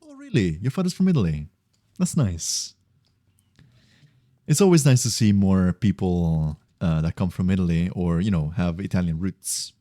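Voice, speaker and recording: A man's voice: sits low, animated, normal speed, mellow, dry recording, clean studio sound. A man chatting casually on a game livestream, thinking aloud in a rambling, repetitive way. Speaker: game streamer. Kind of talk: casual livestream chat while gaming.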